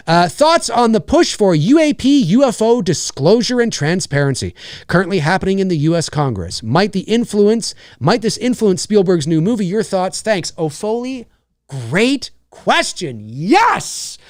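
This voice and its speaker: A man in his forties from Canada hosts his own live stream, rambling excitedly as he reads out viewer messages. His fairly high voice swings widely up and down in pitch. The sound is dry and close, with no room echo. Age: 40s. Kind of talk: casual live-stream chat.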